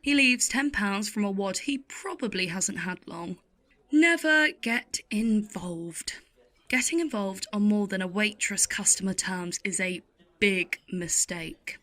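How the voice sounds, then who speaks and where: Actress as a middle-aged waitress, slow delivery, world-weary, sardonic, intimate audio play monologue. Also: female voice, medium pitch, pushed and bright. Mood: weary, reflective. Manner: intimate, sardonic, deliberate storytelling. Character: world-weary, wry older waitress in a late-night cafe.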